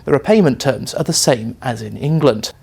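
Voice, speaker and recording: A man reporting a news explainer in a clear, measured newsreader delivery. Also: mid-range voice, expressive, darkish tone. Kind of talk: scripted news explainer narration.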